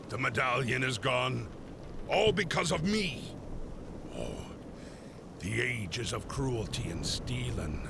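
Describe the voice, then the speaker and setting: An actor playing a bitter, grieving undead pirate captain in a video game, brooding and menacing with a growling, theatrical delivery. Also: male voice, medium pitch, background noise, exaggerated voice. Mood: anguished, hopeless. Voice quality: gravelly, growling. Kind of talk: video game cutscene acting. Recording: music behind.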